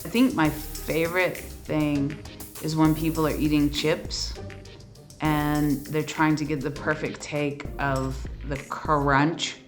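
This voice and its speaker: Slow, low-pitched woman being interviewed. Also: flat delivery, faint music behind. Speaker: voice actor. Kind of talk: casual interview.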